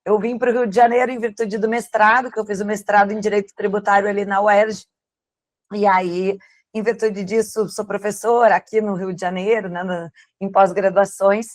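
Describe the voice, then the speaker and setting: A woman's voice: mid-range voice, little pitch movement, moderate pace, no room sound. A woman from southern Brazil with a gaúcho accent, presenting as a guest expert and explaining in a conversational way, often answering her own questions. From Rio Grande do Sul, southern Brazil. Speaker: tax lawyer and lecturer, guest speaker. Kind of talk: explanatory presentation to an online audience.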